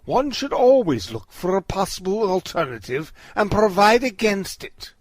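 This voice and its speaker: A man's voice: high voice, very animated, normal speed, no echo. A man playing a pompous, pedantic Victorian detective in a comic audio drama. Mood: pompous, flustered.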